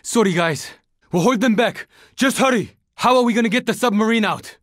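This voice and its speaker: A man's voice: sits high, a little fast, fairly bright tone, dry recording, clean studio sound. A man voicing a young survivor in a zombie video game, terse, earnest and urgent. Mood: focused, pressed. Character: young Japanese male survivor fighting a zombie horde, urgent, determined. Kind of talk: video game voice lines, dramatic combat barks.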